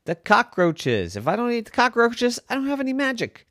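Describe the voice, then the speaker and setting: Drowsy storybook zebra with magic powers, voiced by a narrator, matter-of-fact, lively pitch swings, dry sound. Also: moderate pace, no echo. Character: lazy magical zebra who eats cockroaches. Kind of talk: bedtime storytelling.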